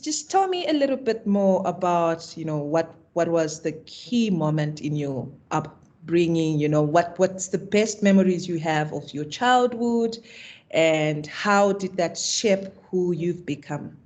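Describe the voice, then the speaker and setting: Slow-speaking woman interviewer. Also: lowish voice, a little mellow. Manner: probing, with many fillers. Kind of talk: interview questions.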